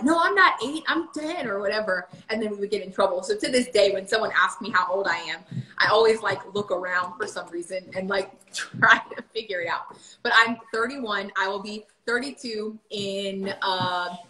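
Bright-voiced woman chatting in a kitchen. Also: mid-range voice, normal speed, echoey room, poor audio. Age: 30s. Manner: chatty, answers viewer questions, many fillers. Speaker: vlogger. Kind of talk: casual livestream chat. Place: home kitchen. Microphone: phone camera at table.

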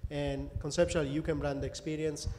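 Man, mellow soft-edged tone, casual panelist, reverberant room with faint noise. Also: on the high side, flat delivery, a little fast. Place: indoor event space before a small audience. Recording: noisy reverberant room with ambience.

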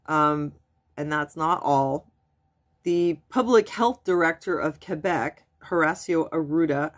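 Stuffed-up woman chatting at her webcam. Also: sits low. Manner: chatty, translating French articles on the fly. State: stuffy nose from a cold. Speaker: vlogger. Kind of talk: casual commentary reading news aloud. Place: small room at home, indoors. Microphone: webcam at desk.

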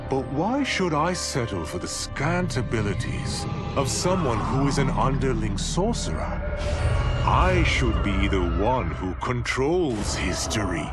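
Slow, scheming sorcerer villain. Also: male voice, mid-range voice, very animated, over loud music. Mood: megalomaniac, resolute. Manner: formal, deliberate, grandiose. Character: power-hungry male sorcerer villain, cold, scheming, imperious. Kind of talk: scripted video game ending narration.

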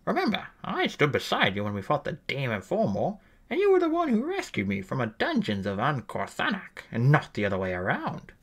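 A man's voice: huge pitch swings, fairly quick, hardly any pauses, heavily acted. A man reading aloud and voicing a fierce, ancient female warrior who talks bluntly and demandingly. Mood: insistent. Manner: blunt, demanding, rises sharply in pitch.

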